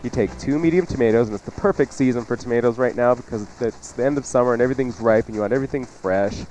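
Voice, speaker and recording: A man's voice: mid-range voice, fast talker, darkish tone, poor audio. A man hosting a cooking show on the radio, chatting casually and jokingly as he gives folksy recipe instructions. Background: music under the talk.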